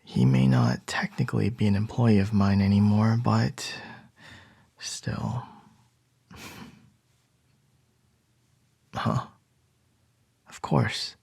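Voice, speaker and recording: A man acting as a composed male CEO boss in an ASMR boyfriend roleplay, murmuring softly with a breathy, whispery voice and a gently wry manner. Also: fairly low pitch, no room sound, clean studio sound, exaggerated voice. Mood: wry, fond.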